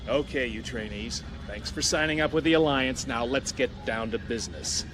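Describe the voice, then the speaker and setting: A man plays a roguish, no-nonsense general in a space-opera audio drama. He is mid-pitched, brisk and wry, talking over noticeable vehicle rumble. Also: expressive, close to an everyday voice. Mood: brisk briefing. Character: cocky, gruff roguish general drilling pilot trainees. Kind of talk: science-fiction audio drama acting.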